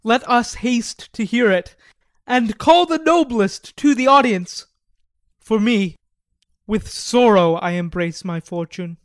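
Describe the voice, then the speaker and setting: A man's voice: high voice, slow pace, dry recording. A man acting a grave young conquering prince in a classical tragedy, with stately, commanding declamation. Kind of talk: classical stage tragedy, dramatic audiobook reading.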